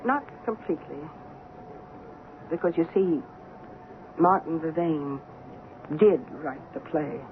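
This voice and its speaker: An actress playing a careful, earnest middle-aged widow in an old-time radio drama, hesitant but insistent. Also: female voice, low voice, slow pace, long pauses. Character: earnest widowed friend, calm believer in parapsychology. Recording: phone-like, noisy old radio recording.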